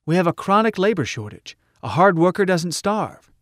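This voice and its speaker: A man's voice: high-pitched, big pitch swings, moderate pace, a bit bright, no echo, clean studio sound, pitched above the performer's own voice. A man voicing a fiery woman revolutionary making a rousing speech in emphatic, clipped slogans, for an audiobook story. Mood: rousing, urgent. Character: Wyoming, fiery rousing female revolutionary orator at a rally.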